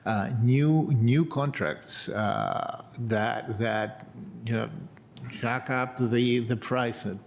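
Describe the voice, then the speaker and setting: A man's voice: medium pitch, expressive, drawn-out words, vocal fry, slight background noise. An American man on a county board, speaking earnestly at a public hearing with many uhs and repeated words.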